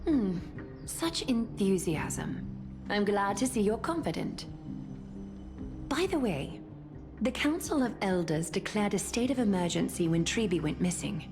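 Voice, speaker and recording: An actress playing a regal, composed female ruler in a game, speaking formally and with measured authority. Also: mid-range voice, very animated. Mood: measured approval. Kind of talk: dramatic game voice acting. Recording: music behind the voice.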